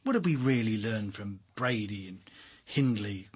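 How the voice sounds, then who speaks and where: An actor in a radio drama playing a world-weary, driven senior detective with a dry, blunt, commanding manner. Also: male voice, on the low side, lively intonation, unhurried, husky. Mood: sardonic, musing.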